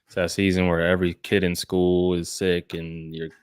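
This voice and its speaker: A man's voice: deep voice, level tone, moderate pace, slight background noise. A man hosting a sports podcast in casual chat, with a slight head cold in his voice.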